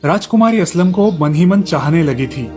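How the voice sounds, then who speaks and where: A man's voice: on the high side, animated, moderate pace, dark tone, soft background music. A man reading scripted narration for children's moral stories as the storyteller.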